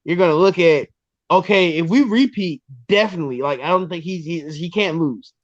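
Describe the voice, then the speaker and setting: A man in his twenties, a guest on a sports podcast, talking casually and excitedly with lots of restarts and ums. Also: on the high side, brisk, no room sound, highs cut off. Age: 20s.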